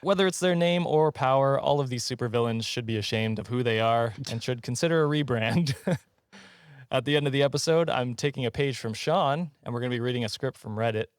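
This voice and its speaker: A man hosting a casual comedy podcast in a jokey, slightly halting way. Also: mid-range voice, no echo, studio quality. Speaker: podcast host.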